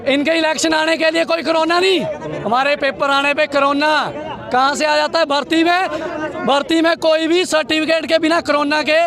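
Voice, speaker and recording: A young man giving an impassioned, declamatory protest speech outdoors, shouting slogans. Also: extremely high pitch, fairly even intonation, moderate pace. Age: young adult. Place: outdoors on a street amid a crowd. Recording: crowd noise outdoors.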